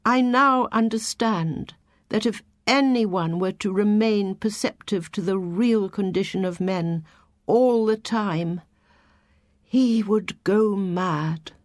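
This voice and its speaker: An anguished, overwhelmed scholar from a storybook, acted out by a woman narrator. The speech is formal and agitated, pushed higher and louder, with deliberate pacing. The recording is dry and close. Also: no echo, natural voice. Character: anguished, overwhelmed male scholar seeing hidden thoughts. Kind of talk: audiobook storytelling.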